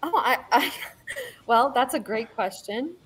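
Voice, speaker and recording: A woman's voice: on the high side, animated, slow, slightly rough, poor audio. A woman in her thirties chatting casually on a video call from home, laughing easily, with many ums. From born in California, raised in Virginia. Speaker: panel guest. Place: home room indoors. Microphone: webcam on a video call.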